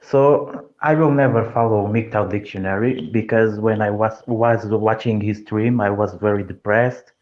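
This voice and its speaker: Caller, male, low and slow, hesitant, muffled call audio. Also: steady tone. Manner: hesitant, many uh's, halting English. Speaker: panel caller.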